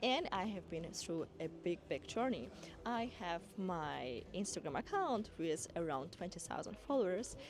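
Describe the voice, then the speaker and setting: A woman's voice: fairly low pitch, very animated, normal speed, noisy background. A woman from Kazakhstan, a dance school owner and women's coach, giving an earnest, encouraging motivational talk to camera, with small non-native grammar slips. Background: background music.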